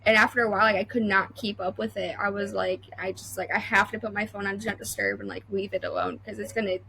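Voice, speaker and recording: A woman's voice: mid-range voice, steady tone, fast, vocal fry, pushed and bright, a little hiss or hum, rough recording. A young adult woman welder talking as a podcast guest, chatty and full of fillers and restarts. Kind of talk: casual interview chat.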